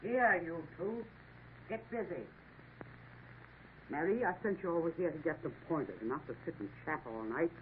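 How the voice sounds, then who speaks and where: A woman's voice: sits low, animated, moderate pace, pauses often. An actress playing a brusque, bossy woman running a café in a 1950s radio drama, curt and commanding. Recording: tinny old radio broadcast, faint hiss.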